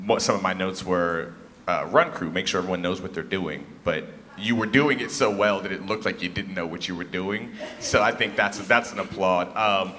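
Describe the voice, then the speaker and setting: A man's voice: low voice, sharp tone. A man acting as a theatre judge gives informal, encouraging critique to young performers in an emphatic, conversational way. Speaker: theatre festival judge, former actor. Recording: reverberant hall, faint noise.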